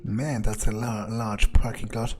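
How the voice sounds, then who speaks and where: A man voicing a cocky vampire in an in-character video game playthrough, musing aloud in a casual, halting way. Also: fairly low pitch, level tone, darkish tone, close to an everyday voice. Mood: satisfied. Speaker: gamer roleplaying his character.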